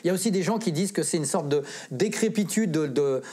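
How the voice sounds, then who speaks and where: Husky low-voiced woman, emphatic talker. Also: lively intonation, fast talker, warm tone. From of Italian origin. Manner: emphatic, repetitive, slangy. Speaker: film columnist on a geek culture show. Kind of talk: animated chronicle in casual panel talk.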